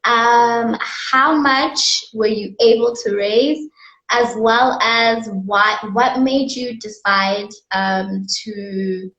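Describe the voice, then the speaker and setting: Slow-talking woman on an echoey, dull call. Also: on the high side, steady tone, a bit bright. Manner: friendly, slow, hesitant with many ums. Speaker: host. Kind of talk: casual interview on a live stream. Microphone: video call. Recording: roomy, dull video call audio.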